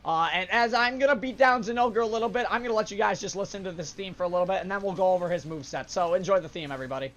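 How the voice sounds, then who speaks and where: Hoarse young man narrating gameplay. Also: sits high, rapid-fire, few pauses, fairly bright tone, dull audio, a little hiss or hum. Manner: casual, upbeat explanatory, frequent "uh" fillers. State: hoarse, sore throat. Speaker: gaming channel host. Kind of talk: let's play commentary. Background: game music.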